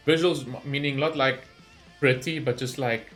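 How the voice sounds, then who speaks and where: A man's voice: mid-range voice, steady tone, normal speed, fairly bright tone, faint music behind. A man hosting a gaming reaction video, talking informally and thinking aloud as he rambles through his commentary. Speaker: gaming reaction channel host.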